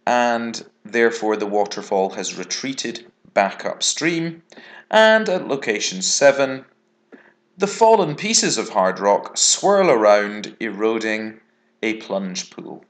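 A British male geography teacher talks through an exam answer over slides. His voice sits fairly low, but his intonation moves a lot. He explains step by step in a measured, instructive manner. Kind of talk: exam revision lesson narrated over diagrams.

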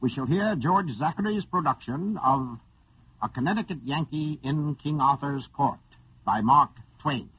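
A husky-voiced man giving a formal, theatrical scripted introduction as a 1940s radio theater host. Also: fairly high pitch, expressive, measured pace, fairly bright tone. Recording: muffled scratchy 1940s radio recording.

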